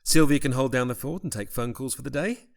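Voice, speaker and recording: An actor playing a shrewd, protective priest in an audio drama, formal and dryly pointed. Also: male voice, mid-range voice, a little fast, vocal fry, natural voice. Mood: wry, protective. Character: shrewd, protective priest, loyal old friend.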